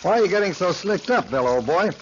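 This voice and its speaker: An actor playing a breezy young American college pal with a wisecracking, slangy manner in a 1940s radio drama. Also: male voice, high-pitched, lively intonation, a little fast, a little hiss or hum. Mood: earnest. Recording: muffled 1940s radio recording.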